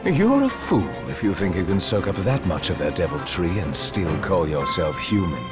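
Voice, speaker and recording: A man's voice: deep voice, sing-song, normal speed. A man playing a sly sorcerer villain in a video game, taunting with theatrical mockery. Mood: mocking. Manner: mocking, theatrical. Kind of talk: video game character acting. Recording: loud music over the voice.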